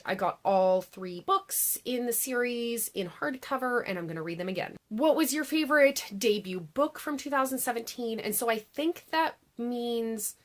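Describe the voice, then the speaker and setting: A woman hosting her own book channel, chatting casually to camera with a raspy, congested voice after a long day of filming, with frequent restarts and "like"s. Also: a little high. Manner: chatty, restarts sentences, many "like"s. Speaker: BookTube host. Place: home filming setup beside a laptop.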